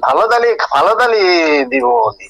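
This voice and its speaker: A man answering a casual phone call in a halting, agreeable way, often repeating his words. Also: high voice, lively intonation, a bit bright, dry recording.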